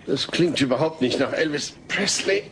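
A man's voice: fairly high pitch, moderate pace, over a noisy crowd, natural voice. An actor playing a mild-mannered, long-suffering suburban father in a sitcom audio drama, dry and sarcastic. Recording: noisy cassette tape with clicks.

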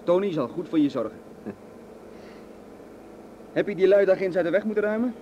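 A smug gangster's heavy in a radio play. He is falsely friendly and menacing, in a fairly high voice, with faint noise behind. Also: expressive, moderate pace, highs cut off. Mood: gloating joviality. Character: jovial, smugly menacing male henchman with gun. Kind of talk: radio drama acting.

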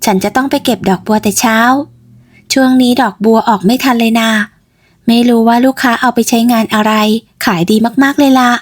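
Lady doing chirpy young flower vendor, highish voice, nosy and stubborn, storybook style. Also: steady tone, a little fast, close to an everyday voice. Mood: chatty. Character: lively young flower seller, inquisitive and headstrong. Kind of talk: storybook character voice.

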